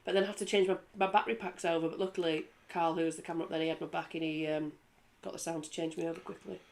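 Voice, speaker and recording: A woman's voice: deep voice, racing, gravelly. A woman, a former rugby league player chatting casually on a studio panel. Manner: chatty, lively, laughs easily.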